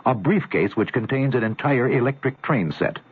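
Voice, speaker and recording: A man reading a radio ad in a smooth, salesy delivery. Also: medium pitch, expressive, moderate pace. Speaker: radio ad announcer. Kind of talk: scripted radio ad read. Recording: tinny old radio broadcast.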